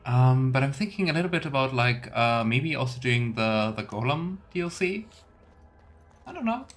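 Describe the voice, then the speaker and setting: A man's voice: mid-range voice, expressive, moderate pace. A man giving casual, musing let's play commentary as a game streamer, with playful asides to his viewers. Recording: faint noise with keyboard clicks.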